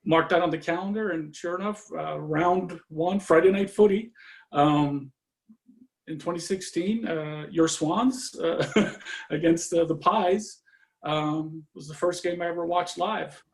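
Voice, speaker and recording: A man from Norway chatting as a guest on a video-call interview, relaxed and full of fillers, trailing off often. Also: on the high side, measured pace, gravelly. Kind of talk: casual conversation.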